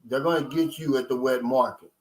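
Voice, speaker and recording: A man's voice: mid-range voice, fairly quick, poor audio. A man in his fifties hosting a live stream, reading viewers' comments and answering them in loose, streetwise talk. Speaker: vlogger reading live chat. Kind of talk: casual live-stream chat.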